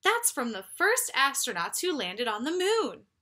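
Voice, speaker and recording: An earnest, cautious young storybook boy, voiced by a woman reader. He sounds bright and high, speaking emphatically and with urgency as a young explorer. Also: sing-song, unhurried, full character voice. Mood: impressed.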